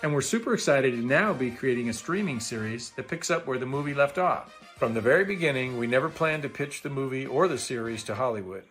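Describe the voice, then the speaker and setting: Guy reading a scripted promo, earnest, normal pitch, faint music behind. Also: lively intonation, quick pace, hardly any pauses, a bit bright. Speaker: campaign presenter and film maker.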